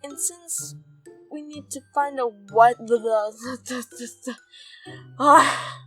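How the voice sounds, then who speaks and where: A woman voicing a young filly pony in an amateur fan audio drama, speaking haltingly. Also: a little high, over music. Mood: scheming, halting.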